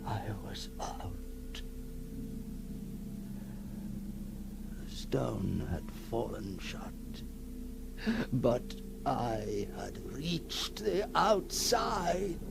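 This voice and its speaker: A man playing a tormented, awestruck figure emerging from long confinement in a theatrical 1960s radio horror monologue, halting and breathless, repeating his words. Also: medium pitch, animated, gravelly, whispered, full character voice. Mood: hushed awe. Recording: noisy old 1960s radio recording.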